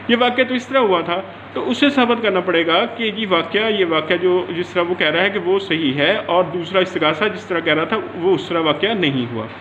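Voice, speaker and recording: A man's voice: high-pitched, fairly bright tone. A man from Pakistan with a Pakistani accent giving an explanatory law lecture, restating his points in a didactic way and mixing in English legal terms. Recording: roomy echo, dull lo-fi sound, faint noise.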